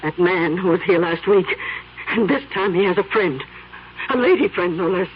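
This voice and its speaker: A woman's voice: on the low side, normal speed, a little hiss or hum, close to an everyday voice. An actress voicing a flustered elderly woman in a 1970s radio drama, indignant and stammering. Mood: pleading. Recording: dull vintage radio broadcast.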